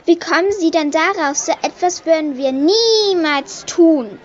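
A woman's voice: extremely high pitch, a little slow, highs cut off. A woman playing a cheeky, defiant little girl street thief in a children's audio drama. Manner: defiant, mock-innocent.

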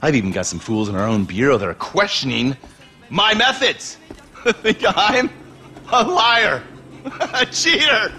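An actor playing a smug, volatile, corrupt American government agent in a video game, showy and self-righteous. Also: male voice, high-pitched, big pitch swings, a little slow, sharp tone, faint music behind, exaggerated voice. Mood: indignant, manic. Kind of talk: cinematic video game acting.

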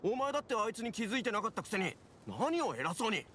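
Hot-headed young anime worker, high animated voice, gruff n defensive, some noise behind. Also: male voice, fast talker, heavily acted. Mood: indignant, defensive. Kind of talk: anime game voice acting.

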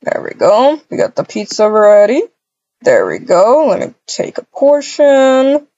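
A woman with a husky voice improvising a family roleplay in a halting, repetitive way, full of cooing endearments. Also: fairly high pitch, very animated, mellow, no echo. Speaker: roleplay video creator voicing all characters. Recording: dull, lo-fi microphone sound.

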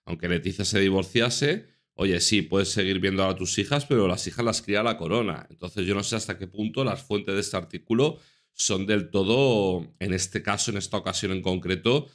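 A man's voice: low-pitched, moderate pace. A man in his thirties from Spain commenting on news articles to his viewers in an earnest, casual manner, stressing points by repeating them. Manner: earnest, repeats for emphasis, addresses viewers directly. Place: small room indoors.

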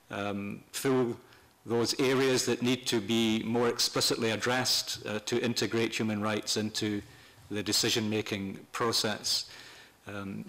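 An older man presenting semi-formally to a meeting, speaking off the cuff in a measured, halting way with pauses mid-phrase. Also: mid-range voice, pushed and bright, low-quality recording. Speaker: panel speaker at a human rights meeting. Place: parliament committee room, indoors. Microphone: desk gooseneck microphone.

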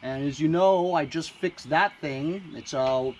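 A man's voice: on the high side, unhurried. A man, chatting casually and rambling with fillers as he fixes machines outdoors, recorded on an action camera. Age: 50s. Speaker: small engine repair vlogger. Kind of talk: casual chat while repairing. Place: outdoors in a driveway and backyard.

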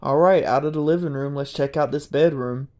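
A young man, narrating a casual, excited walkthrough of an abandoned house. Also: fairly high pitch, a little fast. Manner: excited, marveling, chatty. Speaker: urban explorer vlogger. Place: inside an abandoned old house.

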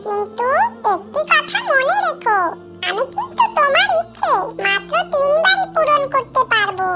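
Tiny, very high magical fish. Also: very animated, normal speed, over loud music. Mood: kindly cautioning. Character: kindly magical golden fish granting wishes. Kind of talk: children's cartoon voice acting.

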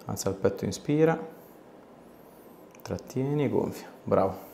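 A man in his thirties with a low voice talks as a chiropractor at work. He gives short, casual instructions and comments, recorded in a small, clearly echoing treatment room. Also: expressive, a little slow, warm tone, a little hiss or hum. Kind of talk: casual hands-on session talk with short instructions.

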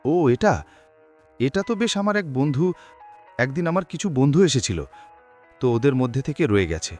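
A man's voice: mid-range voice, big pitch swings, moderate pace, darkish tone, faint music behind, lightly acted. A man playing a polite, frightened young man in a cartoon horror story, with an anxious, dramatic manner. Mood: polite, reassuring.

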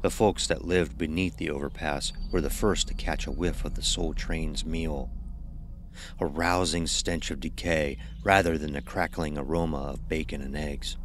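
Extremely deep male horror narrator. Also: measured pace. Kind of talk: scripted horror narration, literary and dramatic. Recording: noisy ambient sound bed.

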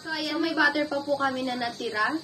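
A woman's voice: sits high, a little fast, noisy background. Giggly young woman cooking-vlog host. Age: young adult. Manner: chatty, giggly, polite and playful. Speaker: cooking vlogger. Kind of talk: casual cooking vlog chat. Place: dark indoor room, seated at a table.

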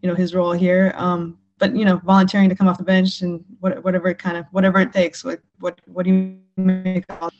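A woman's voice: lowish voice, monotone, fast, hardly any pauses, darkish tone, highs cut off, soft background music. A woman reporter asking a rambling, hesitant question over a video call. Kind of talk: press conference question. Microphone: remote video call.

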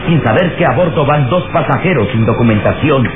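A man's voice: mid-range voice, lively intonation, a little fast, narrow, tinny audio. A man giving dramatic scripted narration as narrator of an old radio serial. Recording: band-limited old radio serial, music.

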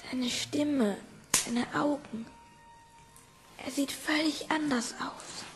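A woman playing a small, anxious orphan child who speaks hesitantly and uneasily in a hushed audio drama scene. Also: high-pitched, slow pace, faint music behind. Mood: uneasy, doubting.

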